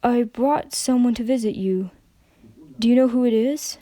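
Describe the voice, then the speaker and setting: Woman as a calm, patient pony doctor. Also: on the high side, moderate pace, pitched above the performer's own voice, close to an everyday voice. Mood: patient coaxing.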